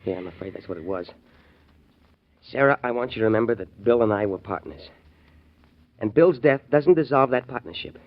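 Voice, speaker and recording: An actor playing a shifty man feigning sympathy in a 1940s radio drama, smooth and insincere. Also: mid-range voice, fairly quick, pauses often, lightly acted. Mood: false sympathy. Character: shifty male partner feigning grief. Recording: muffled old radio recording.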